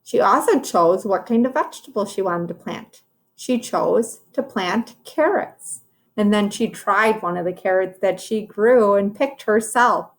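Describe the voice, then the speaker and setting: A woman's voice: medium pitch, animated, a little slow. A woman teacher reading a children's storybook aloud, explaining it directly to young listeners.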